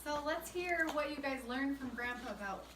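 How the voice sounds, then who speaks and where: A woman's voice: sits high, lively intonation, normal speed, background noise. A young woman chatting casually with family and prompting her grandparents' stories. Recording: echoey, distant, chatter behind.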